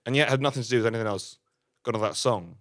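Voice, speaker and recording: A man's voice: medium pitch, racing, a bit bright, natural voice. A man playing an awkward stand-up comedian, rambling through a routine in a hesitant, self-deprecating way. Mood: bemused.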